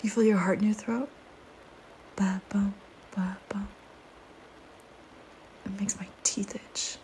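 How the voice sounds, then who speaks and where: A woman voicing a flirty, teasing, predatory female vampire in an intimate audio roleplay, with playful banter and breathy murmurs. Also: medium pitch, level tone, takes it slowly, warm tone, slight background noise, close to an everyday voice, poor audio. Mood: seductive, hungry.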